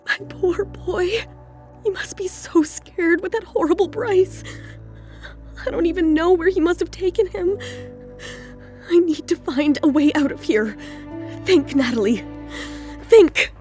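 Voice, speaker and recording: A woman's voice: high voice, expressive, slow, pauses often, a little mellow, faint music behind. An actress playing a wronged, desperate young mother, emotional and defiant, in an audio drama. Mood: worried.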